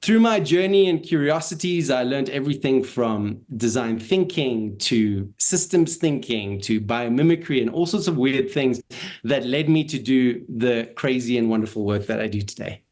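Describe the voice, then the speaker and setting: A man's voice: medium pitch, lively intonation, normal speed, a little mellow. A man who grew up in Israel and South Africa, with an Israeli and South African accent, answering interview questions in a thoughtful way and laughing easily. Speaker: guest.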